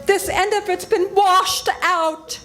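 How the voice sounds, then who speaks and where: A woman's voice: very high voice, steady tone, slow pace, fairly bright tone, soft background music, heavily acted. An older woman reading the part of a panicky, pleading woman hunted by a killer in a live old-time radio play. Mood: frightened. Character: terrified woman targeted by a killer. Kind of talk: 1940s radio drama reading. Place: senior center event room.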